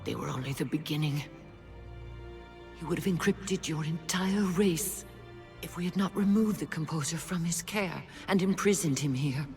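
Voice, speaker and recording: An actress playing an ancient, wise alien spirit in a video game, telling things gravely in slow, measured, at times breathy speech. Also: female voice, low voice, a little mellow, soft background music. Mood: solemn. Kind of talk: video game cutscene acting.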